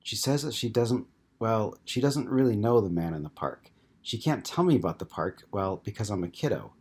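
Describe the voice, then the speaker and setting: A man voicing a shy young child ghost who talks in a halting, childlike way through a medium. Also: on the low side, expressive, darkish tone, husky, pitched above the performer's own voice. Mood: hesitant childlike.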